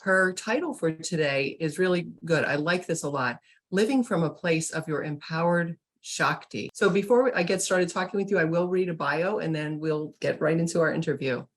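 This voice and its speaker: Low-voiced upbeat woman hosting an interview. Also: normal speed. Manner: upbeat, enthusiastic introductions. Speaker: host and publisher. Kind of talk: online summit interview.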